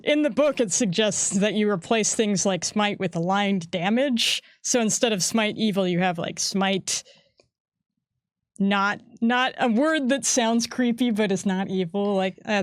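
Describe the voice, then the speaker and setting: A woman chatting casually about tabletop game rules, laughing often and acting out quick voices. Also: medium pitch, no echo. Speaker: game design staff co-host.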